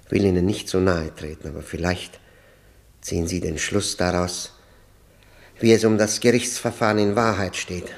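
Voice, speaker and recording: An actor playing a grave, quietly insistent accuser in a radio play, measured, ironic and politely persistent. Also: male voice, low voice, fairly even intonation, creaky. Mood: bitter irony. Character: grave, probing, quietly insistent accuser doubting the justice system. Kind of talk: German radio play acting, 1960.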